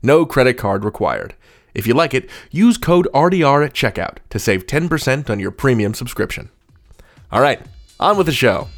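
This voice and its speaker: A man's voice: medium pitch, sing-song, moderate pace, faint music behind. A man hosting a podcast, articulate and wordy, moving between scripted intros and conversational interviewing.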